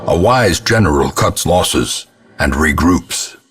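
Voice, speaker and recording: An actor playing a grim old male ancestor who narrates a gothic video game in a portentous, measured, theatrical manner, with a gravelly, husky voice. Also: rumbling low, expressive, fairly bright tone, faint music behind, heavily acted. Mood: grave, ominous. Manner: portentous, measured, ornate diction.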